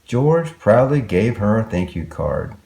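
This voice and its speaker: A man's voice: on the low side, very animated, a little slow, creaky, mellow. A man reading a children's story aloud expressively, with exclamations and wondering asides to young listeners.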